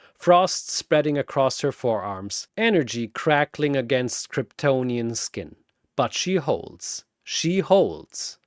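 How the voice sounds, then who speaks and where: A man narrating a scripted battle story in a theatrical way, pausing for emphasis. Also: mid-range voice, no room sound, clean studio sound.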